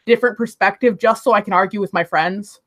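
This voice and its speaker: A woman, Spanish her first language, chatting casually on a voice call, talkative and fond of arguing, with quick laughs. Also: mid-range voice, a bit bright. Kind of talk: casual voice chat.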